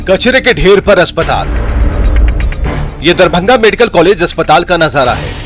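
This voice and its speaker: A male news narrator with an Indian accent reads scripted reports. His voice sits a little high, with music clearly underneath. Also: very animated, normal speed.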